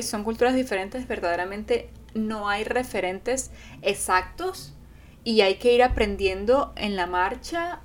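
Earnest woman, higher voice. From Venezuela. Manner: earnest, animated, acts out quoted speech. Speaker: podcast co-host. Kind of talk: casual couple podcast chat.

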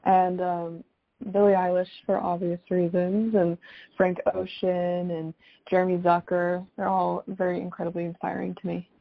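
A young woman answers interview questions in a casual, chatty way. Her voice sits on the low side and often slips into vocal fry. The sound is dry and slightly dull. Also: little pitch movement, moderate pace, dry recording. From South Florida, United States. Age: young adult. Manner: chatty, frequent "like" and youthful slang. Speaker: singer-songwriter guest.

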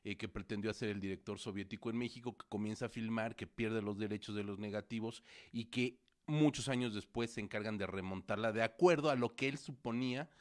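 A man's voice: lowish voice, a little fast, hardly any pauses. A man co-hosting a studio show, talking through films at length with many fillers and restarts. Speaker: co-host film critic. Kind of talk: casual film review chat. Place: studio with producer at console.

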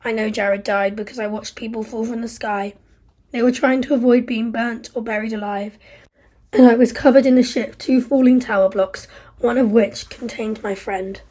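A woman's voice: on the high side, fairly even intonation, normal speed, warm tone, breathy edge. A woman voicing a grief-stricken, angry young man who survived a disaster, speaking with raw, bitter anguish.

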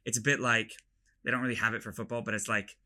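A man's voice: mid-range voice, little pitch movement, fast, bright, forceful tone. A young man from London with an American accent and slight British traces, chatting casually as a college athlete and rambling through his explanations. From London, England. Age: young adult. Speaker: college football player, interview guest. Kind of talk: casual chat.

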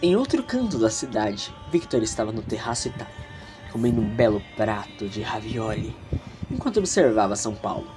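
A man's voice: on the low side, big pitch swings, a little fast, music in the background. A man with a Brazilian accent narrating an audio drama theatrically, with casual, playfully snobbish asides.

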